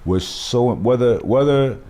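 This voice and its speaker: A man hosting a podcast, talking in an animated, emphatic way full of slang and swearing. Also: medium pitch, slow. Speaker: podcast host. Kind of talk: casual podcast conversation.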